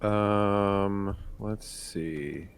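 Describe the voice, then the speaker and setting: A man's voice: fairly low pitch, extremely slow, slight background noise. A man playing a tabletop roleplaying game, hesitantly working out his move aloud. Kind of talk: casual game chat.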